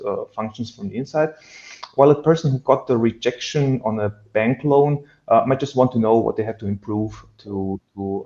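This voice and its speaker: A man's voice: mid-range voice, darkish tone. Bosnian-accented man, roomy dull call audio. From Bosnia and Herzegovina. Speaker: AI researcher, panel guest. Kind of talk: explaining on an online expert panel. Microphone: headset on a video call.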